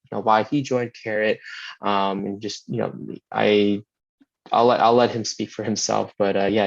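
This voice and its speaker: A man's voice: lowish voice, creaky, dry recording, dull audio. A man hosting an info session over a video call, talking hesitantly with lots of fillers. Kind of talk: casual live video call presentation.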